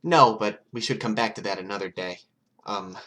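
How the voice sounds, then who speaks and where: A man voicing an earnest, awkward but determined teenage boy in a read-aloud story, halting when embarrassed. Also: lowish voice, fairly bright tone, a little hiss or hum, natural voice. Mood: hesitant.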